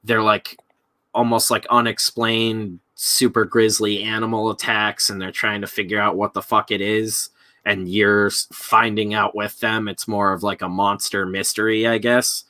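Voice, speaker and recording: A man with a forceful, bright voice talks casually as a live stream co-host. He rambles through his thoughts with loose, profane phrasing, and the recording is dry and close, with little room sound. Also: lowish voice, level tone, moderate pace, no room sound. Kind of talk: casual chat about films.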